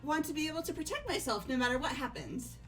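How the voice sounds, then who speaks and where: A woman's voice: high voice, animated, quick pace, soft background music, pitched above the performer's own voice. A woman voicing a cheerful, teasing teenage idol girl in a game. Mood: playful. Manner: bright, teasing, upbeat.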